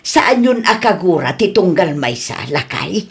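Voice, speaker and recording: A woman's voice: fairly low pitch, sing-song, a little slow. A woman with an Ilocano accent playing a chatty, advice-giving village wife in a radio comedy drama. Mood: concerned, advising.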